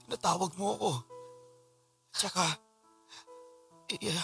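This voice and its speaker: An actor as a gravelly, devoted Filipino husband. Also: male voice, high-pitched, fairly even intonation, bright, forceful tone, faint music behind, heavily acted. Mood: grateful, tender. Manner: earnest, sentimental, halting. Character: devoted, grateful husband just woken from a long coma. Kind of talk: Tagalog radio drama acting.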